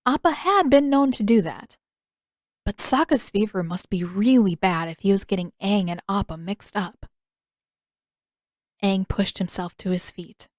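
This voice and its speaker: A woman's voice: medium pitch, measured pace, dry recording. Woman narrating a story, dry close sound. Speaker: fan fiction author and audiobook narrator.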